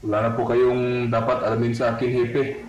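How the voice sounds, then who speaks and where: A man's voice: medium pitch, completely flat delivery, normal speed, muffled recording, natural voice. A man acting as an unrepentant, evasive murderer under interrogation, giving subdued, curt replies in an amateur horror drama. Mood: coolly evasive. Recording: echoey room with faint noise.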